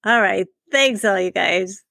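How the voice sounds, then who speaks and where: A woman's voice: mid-range voice, a little slow, vocal fry, bright, forceful tone. A woman hosting a podcast in an informal, conversational style. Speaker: podcast host. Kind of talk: casual interviewing and podcast narration.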